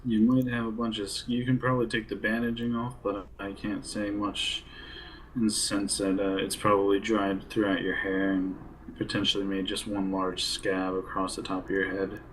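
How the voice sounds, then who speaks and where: A man with a low, very even voice talks as a player in a role play game. His delivery is hesitant and measured, with some noise on the recording. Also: low-quality recording. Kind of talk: in-character group game discussion.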